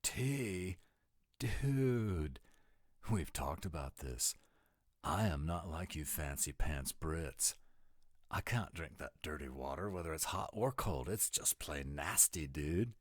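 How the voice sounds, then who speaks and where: A man's voice: very deep voice, expressive, takes it slowly, dry recording, clean studio sound, full character voice. A man voicing a laid-back, goofy American dude in an audio roleplay, with a rambling, dim-witted slacker drawl and an American accent.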